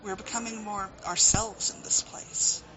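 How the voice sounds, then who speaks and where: A woman's voice: mid-range voice, fairly even intonation, unhurried, close to an everyday voice, rough recording. A woman playing a devoted, steadfast comrade of an island leader in an audio drama, earnest and assured. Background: music.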